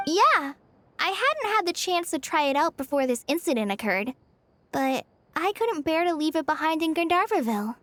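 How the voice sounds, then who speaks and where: A woman's voice: high voice, big pitch swings, normal speed, a bit bright, no room sound. An actress playing an earnest, polite, caring young girl in a video game, with a sweet and eager manner. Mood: eager, friendly.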